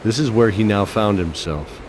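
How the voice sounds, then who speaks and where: Man as a low-voiced solemn fantasy narrator, noisy. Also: normal speed, natural voice. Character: solemn male storyteller of a fantasy tale. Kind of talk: scripted dramatic narration. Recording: clear background ambience noise.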